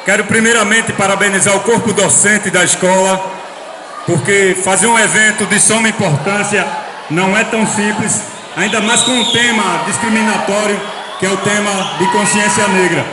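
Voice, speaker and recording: A Brazilian man, a community educator and band singer, giving a loud speech to a crowd at a school event. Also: high-pitched, moderate pace, recorded from a distance. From Aracaju, Brazil. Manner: declaiming loudly to a crowd. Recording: echoey hall with noisy crowd.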